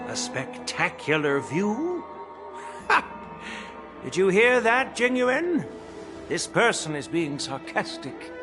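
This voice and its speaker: An actor playing a shrewd, amused elderly general in an anime game, with a wry, theatrical, deliberate delivery. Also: male voice, high voice, sing-song, music in the background, full character voice. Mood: wry amusement.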